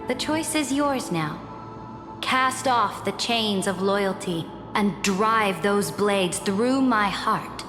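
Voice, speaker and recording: Woman playing a slow, imperious game empress. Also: medium pitch, close to an everyday voice. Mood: cold, imperious. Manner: imperious, measured, solemn. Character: cold, commanding empress, ruthless and resolute. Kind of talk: video game drama dialogue. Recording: echoey reverberant hall, music behind.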